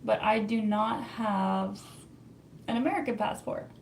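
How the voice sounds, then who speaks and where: A woman's voice: mid-range voice, fairly even intonation, unhurried, gravelly, slight background noise, rough recording. A young Canadian woman with a Canadian accent, hosting a casual livestream, chatty and laughing often as she answers viewer comments. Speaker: livestream host reading chat.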